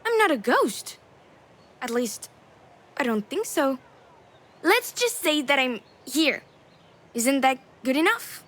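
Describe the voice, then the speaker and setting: A woman playing a young daughter returned from the dead, cheeky, calm and matter-of-fact, in a video game. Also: sits high, slow, long pauses, a little hiss or hum, full character voice.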